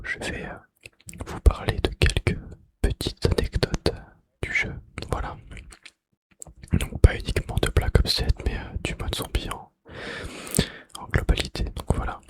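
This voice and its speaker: A young man whispering ASMR gaming facts in a breathy, unvoiced voice with mouth sounds, slightly out of breath, repeating phrases slowly, close to the microphone. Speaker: ASMR video creator. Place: bedroom.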